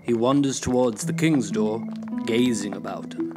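A man's voice: mid-range voice, normal speed. A man narrating stage directions from a play in a dramatic scripted reading. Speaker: narrator and reader. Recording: prominent music under the voice. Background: instrumental music with guitar.

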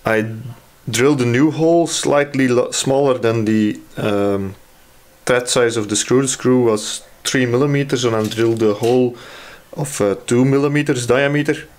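A man's voice: medium pitch, normal speed, a little mellow. A man presenting a hands-on restoration casually, hesitant and thinking aloud as he explains each step. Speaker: hobby radio restorer presenting a repair. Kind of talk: casual hands-on repair walkthrough.

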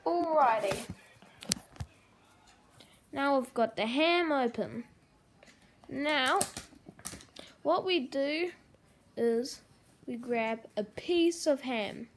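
Woman host of a homemade puppet cooking show: high, unhurried, hesitant delivery, recorded close on a handheld camera at the kitchen table. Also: lively intonation, slight background noise. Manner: hesitant, halting, lots of ums. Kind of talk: improvised puppet comedy.

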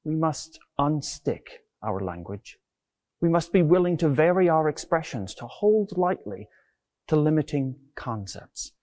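Middle-aged male preacher, animated pitch. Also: unhurried. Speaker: preacher, Anglican clergyman. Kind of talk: sermon, measured scripted delivery. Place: inside a large stone church. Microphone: lapel mic.

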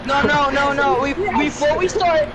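A man's voice: extremely high pitch, fairly even intonation, measured pace, a loud crowd. A man clowning around with friends in casual chat while playing an online shooter. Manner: clowning, silly play-acting. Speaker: gamer in party chat. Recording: very noisy online party chat.

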